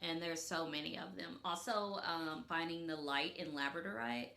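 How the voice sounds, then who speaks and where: A woman's voice: low voice, steady tone, normal speed, creaky, bright, forceful tone, roomy sound. A woman with a Memphis, Tennessee accent, chatting playfully as podcast co-host, full of "like" and "um". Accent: Southern US (Memphis). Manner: chatty, playful, many "like" and "um" fillers. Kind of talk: casual chat.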